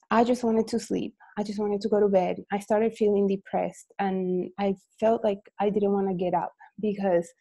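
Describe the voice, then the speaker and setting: Woman in her forties, team leader on a video call: mellow, warm-toned voice, candid and rambling, mid-range pitch. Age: 40s. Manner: energetic, candid, rambling personal story. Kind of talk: motivational talk on a video call.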